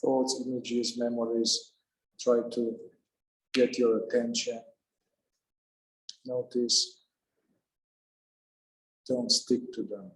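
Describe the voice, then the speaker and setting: Calm man guiding meditation, roomy echo. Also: mid-range voice. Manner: calm, unhurried, with long pauses between short phrases. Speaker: meditation guide. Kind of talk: guided meditation, unscripted.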